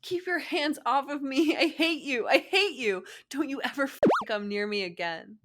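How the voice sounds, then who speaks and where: Lady doing a raging heartbroken teen cheerleader girl, yelling, voice shoved way up, fanfic reading. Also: high voice, expressive, normal speed, background noise, voice pushed up. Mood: screaming rage. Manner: screaming, biting out words.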